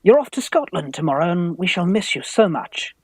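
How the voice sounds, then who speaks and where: An anxious, lovestruck young gentleman played by a man in a Victorian radio farce. He is polite and earnest, his pitch very lively and the sound close and dry. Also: fairly quick, breathy edge, dry recording, exaggerated voice. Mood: earnest courting. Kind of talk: radio play farce acting.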